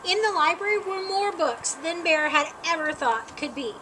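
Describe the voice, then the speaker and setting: A woman reading a children's story aloud as an expressive narrator. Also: very high-pitched, normal speed, background noise. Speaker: storybook reader.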